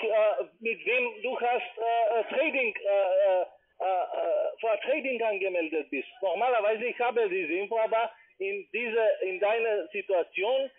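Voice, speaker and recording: A man with a foreign accent in non-native German, making a halting, impatient, informal sales call as a call center salesman. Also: sits high. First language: not German. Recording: muffled, tinny phone line.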